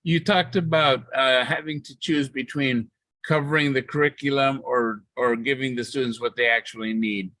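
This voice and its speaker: A man renders another speaker's words into English after each pause. His voice is mid-pitched and recorded close and dry. He keeps an even, steady delivery and sometimes restarts a phrase. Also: no room sound, rough recording. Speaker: interpreter. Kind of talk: consecutive interpretation into English.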